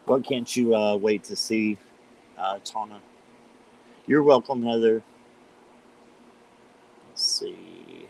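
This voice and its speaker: A man with a slight cold and a hoarse voice, chatting casually as he hosts a live tarot stream and greets viewers by name. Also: fairly low pitch, slow pace, long pauses, darkish tone, rough recording.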